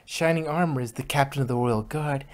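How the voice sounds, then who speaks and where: Woman as an earnest low-voiced pony mare. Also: fairly quick, a little mellow. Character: friendly, earnest female pony organizer, a little sister.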